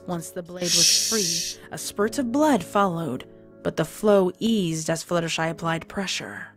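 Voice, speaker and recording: A woman's voice: on the low side, animated, a little slow. A woman narrating a scripted dramatic story. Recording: prominent music under narration.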